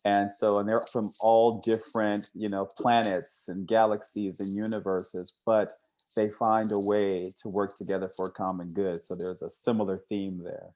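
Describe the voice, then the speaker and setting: A male host with a soft-edged, mellow and fairly low voice. He interviews casually, in a conversational style that wanders into his own thoughts. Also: level tone, normal speed. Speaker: interviewer, comic creator.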